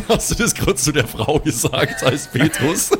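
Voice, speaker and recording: A man's voice: on the high side, expressive, unhurried. A man playing in an improvised audio role-play, talking in a casual, chummy way in character. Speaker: player in a role-play show.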